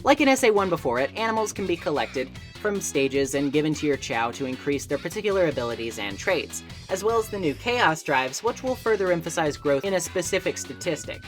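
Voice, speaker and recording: A woman with a notably low voice delivers scripted review narration. Her intonation moves around a lot, with wry, opinionated asides in a conversational manner. Faint music plays behind her. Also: very animated, a little fast, hardly any pauses. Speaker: video essay host and game reviewer. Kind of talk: scripted video essay narration. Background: background music.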